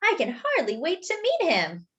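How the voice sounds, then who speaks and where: An eager little storybook doughnut fellow , played by a woman, chatters away in a high, bouncy voice. He is wide-eyed, naive and friendly, with swooping excitement. The sound is slightly dull. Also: moderate pace, exaggerated voice. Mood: excited, eager.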